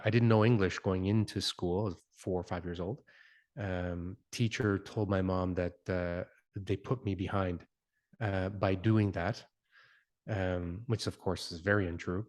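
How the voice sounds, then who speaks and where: A man in his forties, an interview guest raised in Canada whose first language is Italian, speaking reflectively with many fillers and restarts. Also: fairly low pitch. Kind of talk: conversational interview.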